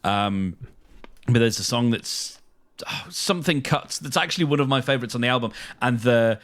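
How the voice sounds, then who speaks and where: A man's voice: mid-range voice, normal speed, a bit bright. A British man co-hosting a podcast in casual chat, speaking hesitantly with repeated words and trailing thoughts. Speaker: podcast co-host.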